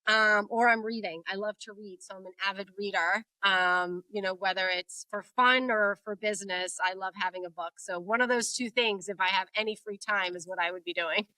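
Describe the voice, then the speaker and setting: Woman in her forties, native Portuguese speaker, bright voice and dry sound, candid and quick to laugh. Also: mid-range voice, fairly even intonation, no echo, rough recording. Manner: laughs often, warm and candid. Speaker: guest, HR executive. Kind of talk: casual interview chat.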